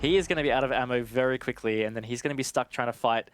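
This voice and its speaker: A man's voice: mid-range voice, racing, a little hiss or hum. A man giving conversational, analytical live commentary on an esports match.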